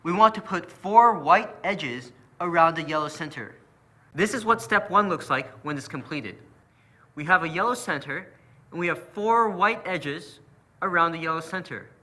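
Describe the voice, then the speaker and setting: A man's voice: on the high side, lively intonation, moderate pace, sharp tone. A man explaining a puzzle method as an instructor, patient and methodical in his narration. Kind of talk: step-by-step tutorial narration.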